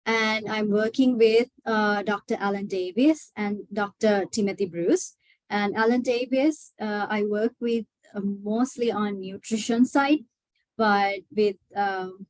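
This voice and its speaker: A woman's voice: mid-range voice, takes it slowly, a little mellow, no room sound, low-quality recording. A young woman from Sri Lanka, a PhD student, giving a webinar talk with frequent hesitations and fillers. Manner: hesitant, many filler sounds. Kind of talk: webinar presentation.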